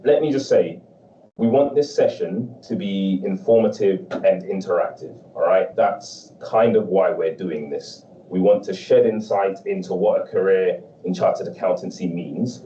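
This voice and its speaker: Deep, mellow man moderating a call. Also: slight background noise. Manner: measured, conversational, fond of "right?". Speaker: panel moderator. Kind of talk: hosting an online panel. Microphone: video call. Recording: dull, distant, roomy call audio.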